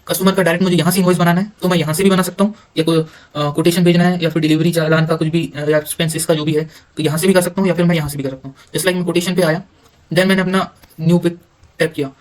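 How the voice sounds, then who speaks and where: An Indian man giving an informal software walkthrough, halting at times and mixing English terms into his speech. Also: fairly high pitch, fairly even intonation, rough recording. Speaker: software demonstrator. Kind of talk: informal screen-recorded tutorial walkthrough.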